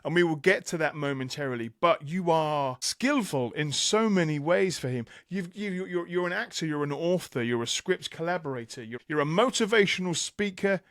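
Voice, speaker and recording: A man's voice: a little high, moderate pace, hardly any pauses, a bit bright, dry recording, clean studio sound. A man hosting a podcast interview in an enthusiastic, conversational style. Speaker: podcast host.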